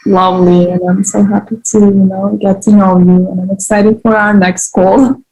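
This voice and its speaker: A young woman with a Turkish accent chatting gently on a video call, hesitant and full of fillers. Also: medium pitch, little pitch movement, normal speed, low-quality recording. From Turkey. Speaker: participant. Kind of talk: casual video call chat.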